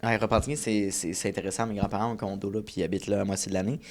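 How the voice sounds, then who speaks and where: A man hosting a podcast speaks with a Quebec French accent, his voice sitting a little low for a man. He asks his questions in a relaxed, chatty way, thinking aloud as he goes. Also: racing. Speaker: podcast host. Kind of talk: casual podcast interview.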